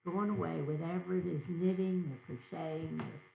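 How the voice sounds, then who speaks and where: A woman's voice: lowish voice, fairly even intonation, normal speed, creaky, warm tone. An older woman chatting casually as a guest on a homemade video. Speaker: guest, the host's mother. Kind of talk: casual chat. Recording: roomy sound with faint hum.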